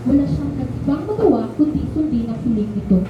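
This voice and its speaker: High-voiced woman narrator, very echoey. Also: very animated, quick pace, highs cut off. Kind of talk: scripted radio drama narration. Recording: very echoey room, distant, clear noise.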